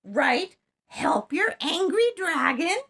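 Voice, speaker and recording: A woman voicing a chirpy, upbeat host character on a children's TV show, introducing a guest in a squeaky, sing-song voice. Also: sing-song, slow pace, creaky, fairly bright tone, exaggerated voice. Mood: cheerful. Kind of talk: scripted kids' TV introduction.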